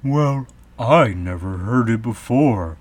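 A man voicing a mournful, querulous Mock Turtle, a sentimental storybook creature with drawn-out, doleful delivery. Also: medium pitch, animated. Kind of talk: storybook character acting.

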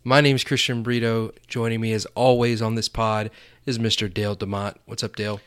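A man's voice: on the low side, level tone, fairly quick, fairly bright tone, smooth, no echo. A young man hosting a podcast in casual chat, with a dry, teasing manner. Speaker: podcast host.

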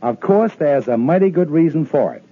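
A man announcing a 1950s radio advertisement as a polished sales pitch. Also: animated, normal speed, slightly rough. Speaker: commercial announcer. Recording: dull lo-fi old radio recording.

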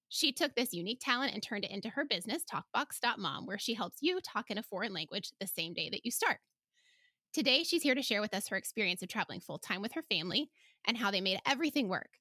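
A woman's voice: medium pitch, pushed and bright, dry recording, clean studio sound. A woman hosting an upbeat podcast interview, chatty and enthusiastic. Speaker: podcast host.

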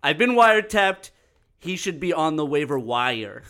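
A man's voice: on the high side, big pitch swings, measured pace, sharp tone. A man co-hosting a podcast in casual, teasing, joking banter. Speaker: podcast co-host.

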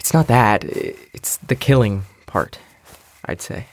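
A man's voice: mid-range voice, expressive, unhurried. A man playing an anxious, earnest young survivor. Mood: uneasy. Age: young adult. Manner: earnest, self-talking, uneasy. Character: anxious, determined young man in a post-apocalyptic world. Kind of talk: naturalistic audio drama acting.

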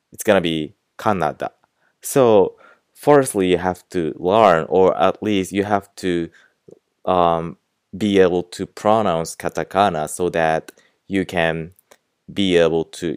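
A young man with a Japanese accent, whose first language is Japanese, hosts a casual podcast lesson in English. His voice is low and smooth, recorded close and dry with no room sound. He rambles in a friendly way, with many "like"s and "you know"s. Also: unhurried, no room sound. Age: young adult. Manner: chatty, fills speech with "like" and "you know". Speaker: podcast host and language teacher.

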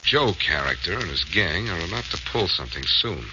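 An actor playing a confident, playful amateur detective with wry banter in a 1940s radio drama. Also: male voice, sits low, expressive, fairly quick, over music. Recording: noisy, dull old radio recording.

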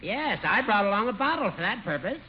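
An actor playing a cautious, needling older judge in a 1950s radio comedy, formal and admonishing in manner. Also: male voice, high-pitched, big pitch swings, brisk. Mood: needling, earnest. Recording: muffled, very noisy old radio recording.

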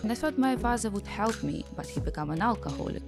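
A woman from Ukraine with a strong Ukrainian accent, speaking in short, halting phrases as a podcast guest. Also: medium pitch, expressive, creaky, music in the background. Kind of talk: personal interview.

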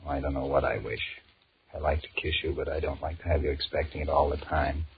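Very deep-voiced weary businessman, flat-toned, grumbling and wheedling, flirtatious boss, vintage 1940s radio drama. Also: quick pace, vocal fry. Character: weary, restless married businessman flirting with his secretary. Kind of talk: 1940s radio drama acting, inner monologue and dialogue. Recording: muffled, hissy 1940s radio recording.

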